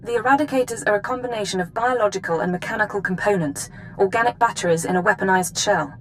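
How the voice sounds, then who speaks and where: An actress playing a composed, businesslike intelligence handler giving a mission briefing in a video game. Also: female voice, medium pitch, fast talker, slight background noise, lightly acted. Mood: formal briefing.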